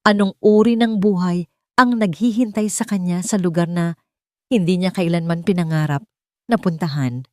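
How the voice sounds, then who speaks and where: A woman's voice: on the low side, steady tone, moderate pace, dry recording, studio quality. A woman with a Filipino accent reading a scripted dramatic story as its narrator.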